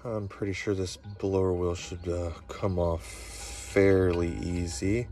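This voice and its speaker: Low, slow-talking HVAC technician. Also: male voice, level tone, over music. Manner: plain-spoken, working while talking, many "uh" fillers. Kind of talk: narrating a repair job on camera. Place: outdoors beside a home's heat pump. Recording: noisy outdoor job site with tool clatter.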